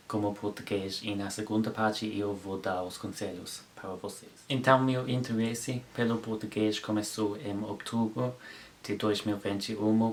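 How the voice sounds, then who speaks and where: A man's voice: lowish voice, a little hiss or hum. A sixteen-year-old boy from England, a native English speaker, telling his story to camera in careful learner's speech, often stopping to correct himself. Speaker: language vlogger.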